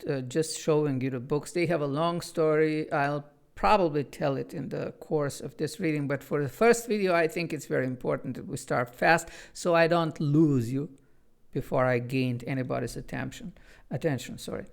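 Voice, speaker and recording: Gravelly Bulgarian-accented man reading stories. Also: fairly high pitch, moderate pace. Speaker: author reading his own children's book. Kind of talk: chatty intro, then storybook read-aloud.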